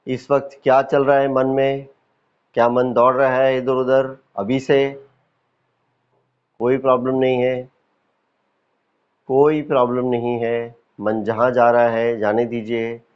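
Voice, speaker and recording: A man with an Indian accent leading a guided yoga nidra meditation as a teacher, calm and instructive, with deliberate pauses and repetition. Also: mid-range voice, clean tone, dull audio.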